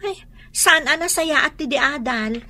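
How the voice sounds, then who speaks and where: A woman's voice: high voice, animated, measured pace, sharp tone, exaggerated voice. A woman playing a young, opinionated schoolgirl daughter in a radio drama. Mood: scornful, chatty. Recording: prominent music behind the voice.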